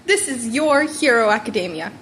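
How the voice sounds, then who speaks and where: A woman's voice: a little high, animated, moderate pace, fairly bright tone, rough recording. Big-hearted heroic mentor man played by a woman, chatty and emphatic, swooping delivery, audiobook with faint noise. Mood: jovial, congratulatory. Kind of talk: amateur fan fiction audiobook character.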